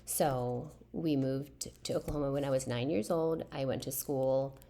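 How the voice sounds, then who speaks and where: A soft-spoken woman in her thirties answers her viewers' questions in a relaxed, chatty way. Her voice sits very low and has a gravelly fry. She often pauses and restarts as she talks. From Philippines. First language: a Philippine language. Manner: soft-spoken, hesitant with many fillers and restarts. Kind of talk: casual chat to camera.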